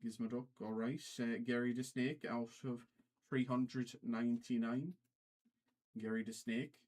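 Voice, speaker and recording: A man hosting a trading card livestream in a put-on Irish accent, casually calling out cards in a halting way and often repeating himself. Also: medium pitch, little pitch movement, darkish tone.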